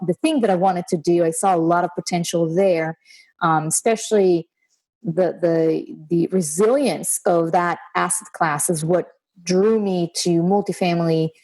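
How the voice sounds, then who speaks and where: A woman in her thirties from Israel, a guest on an interview, talking relaxed and fluent with filler words. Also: on the low side. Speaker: guest, real estate investor. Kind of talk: conversational interview.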